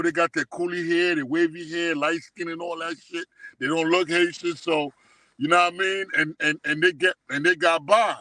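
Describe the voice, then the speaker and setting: A man's voice: high voice, bright, forceful tone, no echo. A middle-aged man reminiscing casually in street slang, emphatic and often checking that he is understood. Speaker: guest telling life stories.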